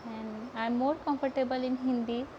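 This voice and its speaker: Young Indian female art streamer, Odia native, high voice, halting and informal teaching, muffled low-grade audio. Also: fairly even intonation. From Odisha, India. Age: young adult. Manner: hesitant, stumbling, repeats words. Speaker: art live-stream host and painting teacher. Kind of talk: casual live painting tutorial with chat. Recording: dull lo-fi audio with heavy background noise.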